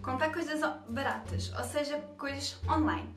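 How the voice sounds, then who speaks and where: A woman's voice: sits high, moderate pace. A young woman with a European Portuguese accent, showing cheap online purchases in a hesitant, filler-heavy way. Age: young adult. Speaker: beauty vlogger. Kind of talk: casual haul video. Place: small bathroom. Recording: echoey bathroom with loud music.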